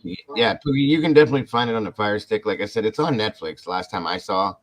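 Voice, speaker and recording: Male podcast host, deep, loose and animated delivery. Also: quick pace. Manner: rambling, animated, talks to chat viewers. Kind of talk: casual podcast chat.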